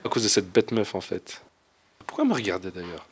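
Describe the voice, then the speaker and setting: A man's voice: lowish voice, sing-song, quick pace, slightly rough, exaggerated voice. A young man playing a flustered guy on a date. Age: young adult. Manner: slangy, rambling, hesitant. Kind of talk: amateur short film, inner thoughts aloud.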